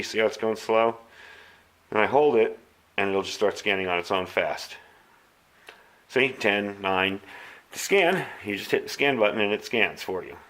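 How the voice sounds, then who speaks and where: A man's voice: fairly low pitch, fairly bright tone. A man with an American accent giving an informal, hands-on product demonstration, talking through each step as he goes. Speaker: radio hobbyist reviewing gear. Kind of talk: casual product review and demonstration.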